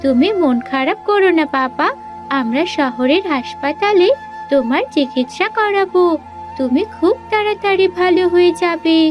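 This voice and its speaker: A woman's voice: extremely high pitch, lively intonation, normal speed, highs cut off, soft background music. A woman voicing a sweet, earnest young village girl in a cartoon, eager and polite in a childlike way. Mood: reassuring. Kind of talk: Bengali animated fairy-tale voice acting.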